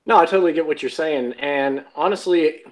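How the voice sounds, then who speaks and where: A man's voice: a little high, brisk, poor audio. A man chatting casually as a voice actor guest on a podcast, earnest and reflective. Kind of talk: casual chat.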